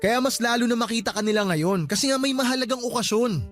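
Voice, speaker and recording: A petulant young boyfriend in a radio drama. He nags, brushes complaints aside and turns defensive quickly, over background music. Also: very high voice, fairly bright tone. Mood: insistent. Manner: nagging, defensive, dismissive. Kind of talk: Filipino radio drama acting.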